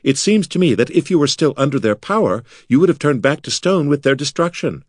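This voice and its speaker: A brisk, kindly woman wizard from a fantasy storybook, played by a male narrator. The pitch is lifted well above a man's talk. She sounds assured and crisp, a little bossy and testy. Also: lively intonation, no room sound, clean studio sound. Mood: thoughtful.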